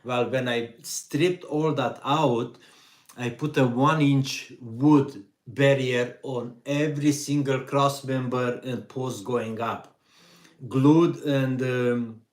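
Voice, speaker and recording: Middle-aged Hungarian-accented male host, slow and easygoing, live stream Q&A. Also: medium pitch. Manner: slow, relaxed, pauses with fillers. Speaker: vanlife channel host. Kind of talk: live stream Q&A reading chat.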